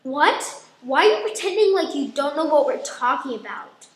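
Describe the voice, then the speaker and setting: A woman's voice: sits high, animated, normal speed. A woman playing a stubborn, upset young daughter in a radio play, insistent and pouting. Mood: hurt, accusing. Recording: echoey room.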